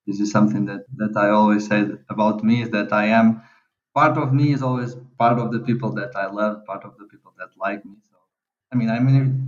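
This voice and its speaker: A young man with a Portuguese accent, a guest chatting casually in an interview, hesitant and repeating words with frequent laughs. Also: fairly low pitch. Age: young adult. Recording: muffled lo-fi audio.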